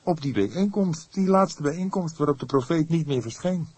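A man acting out a young man in a detective audiobook, keyed up and anxious. The voice sits high and a little husky. He is earnest and insistent, pressing his report on the police with breathless urgency. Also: animated, moderate pace, darkish tone, dry recording.

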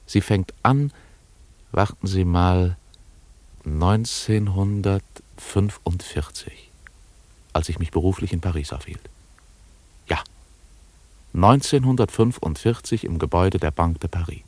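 A man's voice: deep voice, measured pace, long pauses, darkish tone, no echo. An actor playing an urgent, impatient New York private detective in a German radio play, who also narrates his own story. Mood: measured, confiding.

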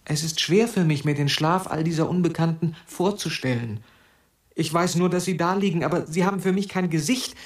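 A man's voice: a little high, reverberant, natural voice. An actor playing a forsaken, restless young man in a radio drama, brooding aloud in an intense, declamatory monologue.

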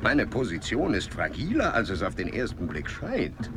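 A man's voice: on the low side, wildly swooping pitch, normal speed, noisy background. An actor playing a dignified, anxious old male explorer in a German radio drama, speaking formally and courteously. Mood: guarded.

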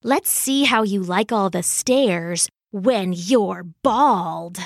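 A woman's voice: medium pitch, extremely slow, gravelly, no room sound, studio quality, full character voice. A woman voicing a sly, jealous male trickster god in a storybook myth, flippant and taunting. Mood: spiteful.

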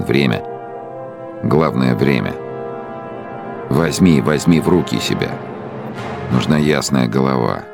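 An actor playing a brooding, arrogant, fanatical middle-aged dictator in a radio drama monologue, speaking in pompous, scornful, emphatic phrases. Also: male voice, rumbling low, creaky, exaggerated voice. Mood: brooding, tense. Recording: loud music behind the voice. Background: music.

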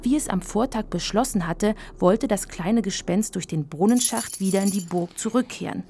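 Woman storyteller with a creaky voice. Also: medium pitch, normal speed, dark tone, slight background noise. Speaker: narrator. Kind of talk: scripted storybook narration for a children's radio play.

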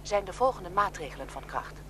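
An actress playing an impersonal government newsreader reading a formal bulletin on the radio in a radio drama. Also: female voice, fairly low pitch, lively intonation, fairly quick, a crowd in the background, close to an everyday voice. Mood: official, impersonal. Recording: small radio with hum and noise.

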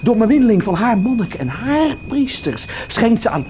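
A man's voice: very high-pitched, big pitch swings, normal speed, a little mellow. An actor playing a cynical monk who peddles indulgences in a theatrical radio play, mocking and hawking like a showman. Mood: mock pastoral. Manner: hawking, sneering, exaggerated showmanship. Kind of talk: theatrical radio drama acting. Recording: noisy radio play recording.

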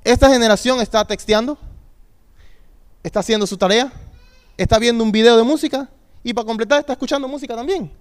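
A man preaches as a youth pastor. His voice sits high and sounds smooth and resonant. He leans on repetition and asks the congregation to say lines back with him. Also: quick pace, pauses often. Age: 30s. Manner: preaching with call-and-response, emphatic repetition. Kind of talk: sermon.

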